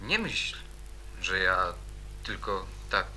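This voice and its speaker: A man's voice: low voice, big pitch swings, takes it slowly, bright, forceful tone, slight background noise, heavily acted. An actor playing an earnest, troubled schoolboy in a radio drama, insistent and hesitant as he repeats himself. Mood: pleading, evasive. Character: Janusz, stubborn, earnest, troubled schoolboy in his teens.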